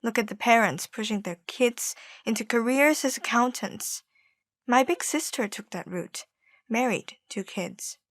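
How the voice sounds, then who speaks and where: A woman's voice: a little high, unhurried, no echo, studio quality. A young woman acting an earnest, troubled young Hong Kong adult in stage drama dialogue. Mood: worried.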